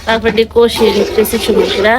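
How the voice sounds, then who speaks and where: High-voiced woman narrating her cooking. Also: level tone, fast. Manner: informal, colloquial, step-by-step. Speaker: home cook and vlogger. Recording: noisy ambient background.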